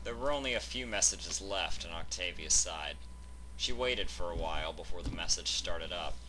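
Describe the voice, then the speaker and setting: A man's voice: low-pitched, expressive, moderate pace, background noise. A man reading a scripted fan fiction story as its narrator.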